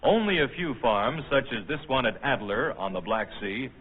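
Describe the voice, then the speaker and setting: An American man reading formal, measured, scripted narration in the manner of a 1950s documentary newsreel. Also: mid-range voice, animated, husky. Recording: tinny band-limited old film soundtrack.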